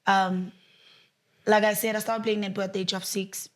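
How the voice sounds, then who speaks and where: A young woman with a South African accent, a netball player, talking about herself in a relaxed interview. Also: medium pitch, moderate pace. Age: young adult. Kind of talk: casual interview answers. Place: studio.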